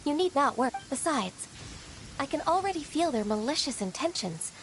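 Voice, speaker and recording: A woman's voice: high voice, very animated, moderate pace, slight background noise, rough recording. A woman voicing a polite, formal secretary in a video game. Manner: formal and courteous.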